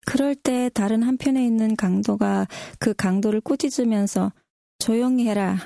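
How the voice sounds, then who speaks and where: A woman's voice: fairly high pitch, moderate pace, creaky, mellow, no room sound, studio quality. A woman in a prepared-sounding Bible discussion, politely restating and reflecting as a co-host. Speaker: co-host discussing scripture with a pastor.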